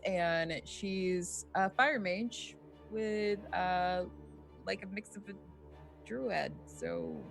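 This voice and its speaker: Low-voiced giggly woman. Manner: giggly, rambling. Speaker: player. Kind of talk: casual tabletop chat.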